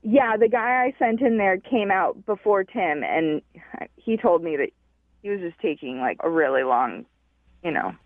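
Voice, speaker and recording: A woman's voice: mid-range voice, expressive, moderate pace, no room sound. A woman calling in to a radio show over the phone, talking casually and earnestly as she explains herself. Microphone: telephone. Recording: narrow tinny phone line.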